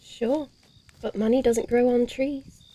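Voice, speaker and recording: A woman's voice: fairly high pitch, fairly even intonation, takes it slowly, mellow, a little hiss or hum, poor audio. A woman playing a bloodthirsty, grumbling female vampire in an audio horror-comedy, speaking dryly and theatrically in old-fashioned phrases. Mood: skeptical, dry.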